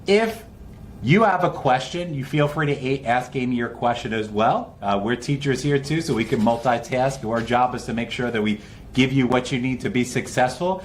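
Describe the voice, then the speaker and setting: A man's voice: medium pitch, moderate pace, a little hiss or hum. A man hosting an online test-prep session with an upbeat, informal and encouraging introduction.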